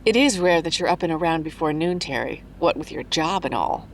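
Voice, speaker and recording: A woman playing a patient, playful mother of two in an audio drama, gently teasing. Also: low-pitched, expressive, brisk. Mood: playfully patient.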